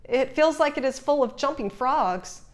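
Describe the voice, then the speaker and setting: A woman's voice: sits high, expressive, moderate pace, pitched above the performer's own voice. A woman voicing a young turtle boy starting school, earnest and childlike, in a storybook read-aloud. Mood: anxious, reluctant.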